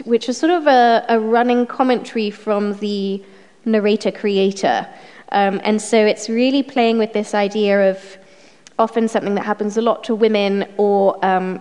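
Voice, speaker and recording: A woman's voice: mid-range voice, a little mellow. A woman chairing a literary event in front of an audience at a microphone, her voice strained and snuffly, speaking chattily with frequent ums. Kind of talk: introducing a literary reading. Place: event room with a live audience. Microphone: microphone on a stand. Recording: long hall reverb.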